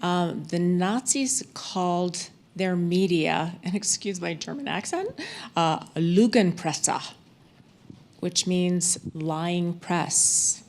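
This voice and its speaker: Slow, deliberate low-voiced woman. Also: a little mellow. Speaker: panelist. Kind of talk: town hall discussion.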